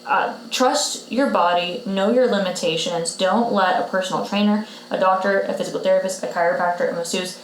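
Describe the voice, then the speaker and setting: A woman's voice: medium pitch, fairly quick, hardly any pauses. A woman co-hosting a podcast, giving blunt health advice in a casual, emphatic way and repeating her key points. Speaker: podcast co-host, patient. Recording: roomy echo with faint noise.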